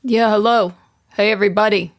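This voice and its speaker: A woman's voice: medium pitch, fairly even intonation, fairly quick, natural voice. A woman playing a bossy male coach who talks curtly and demands respect in comic banter. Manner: bossy, curt, repeats himself. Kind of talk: comedic character banter.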